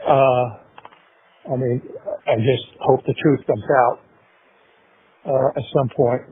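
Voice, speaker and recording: A man's voice: mid-range voice, steady tone, slow. A middle-aged man in a casual personal conversation over a thin telephone line. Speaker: parent of the inmate on a jail call. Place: recorded phone call. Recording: tinny phone line with faint noise.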